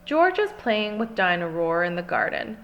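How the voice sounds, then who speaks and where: A woman's voice: medium pitch, sing-song, moderate pace, fairly bright tone, lo-fi sound. A woman reading a children's storybook aloud, expressively and carefully phrased for small children. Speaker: storybook reader. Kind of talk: scripted children's read-aloud.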